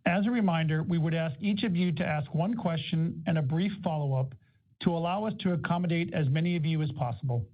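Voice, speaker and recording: A man hosts a corporate conference call with formal, scripted phrasing. His voice is fairly high for a man and sounds thin, as if over a telephone. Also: brisk. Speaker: call moderator, investor relations host. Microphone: conference call line. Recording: thin phone-like conference line.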